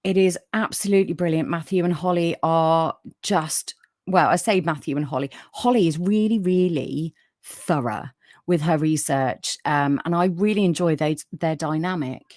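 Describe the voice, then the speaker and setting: A woman's voice: low-pitched, normal speed, no echo, studio quality. A woman with a soothing voice hosting a casual livestream, chatting with viewers and reading their comments with lots of fillers. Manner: chatty, warm toward viewers, many fillers. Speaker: livestream podcast host.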